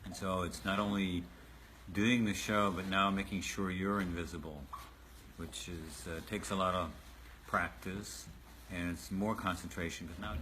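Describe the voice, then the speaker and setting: A man's voice: low-pitched, sharp tone. A man talking behind the scenes as a puppet show director, explaining things in loose, hands-on everyday terms. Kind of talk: behind-the-scenes interview talk. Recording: clear background noise, rehearsal room ambience.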